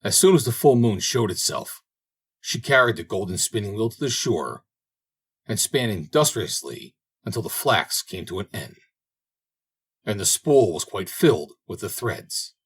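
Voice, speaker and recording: Male audiobook narrator for fairy tales: husky, highly animated, theatrical storyteller. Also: unhurried. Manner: dramatic, expressive storytelling. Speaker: audiobook reader. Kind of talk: scripted fairy tale narration.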